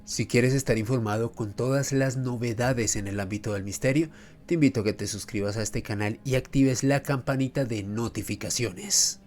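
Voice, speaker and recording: A man's voice: medium pitch, normal speed, a little mellow, soft background music. A man hosting a history and mystery show, narrating in a formal, emphatic, rhetorical storytelling manner. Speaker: host of a history and mystery channel. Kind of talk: semi-scripted documentary narration.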